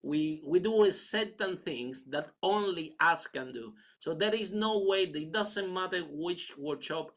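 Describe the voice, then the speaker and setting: Cuban-accented male panelist, bright tone, halting delivery. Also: a little high, gravelly. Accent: Cuban Spanish. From Cuba. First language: Spanish. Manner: hesitant, with many fillers and restarts. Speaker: panel speaker, public speaking trainer. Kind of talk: answering a question on a panel.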